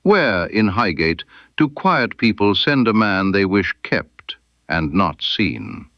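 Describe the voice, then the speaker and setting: A man's voice: on the low side, very animated, takes it slowly, dry recording, muffled recording, exaggerated voice. A man voicing an incisive, composed Victorian detective in a mystery story, with precise, formal, probing speech.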